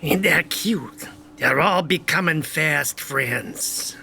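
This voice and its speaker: Man acting a slow, sharp-voiced scheming villain. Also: fairly high pitch, creaky, slightly rough, full character voice. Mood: mocking. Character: sneering, scheming villain boss spying on heroes. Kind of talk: audio drama table read acting.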